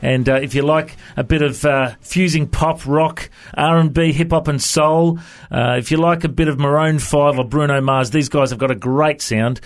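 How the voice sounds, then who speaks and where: A man's voice: fairly high pitch, steady tone, normal speed. An Australian man hosting a radio show, interviewing in a casual, upbeat style. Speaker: radio host.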